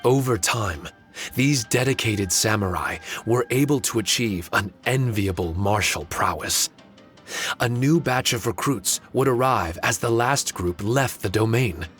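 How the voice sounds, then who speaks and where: A man voicing a courteous, solemn ancient guardian spirit in an anime game, speaking formally and in a measured way. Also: on the low side, fairly bright tone, faint music behind. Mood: solemn, reflective.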